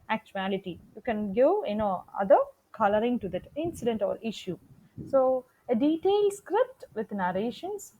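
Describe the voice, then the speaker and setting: A woman's voice: on the high side, a little mellow, low-quality recording. A woman giving an explanatory lecture, emphatic and repeating her key points as she teaches. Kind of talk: explanatory lecture on media production.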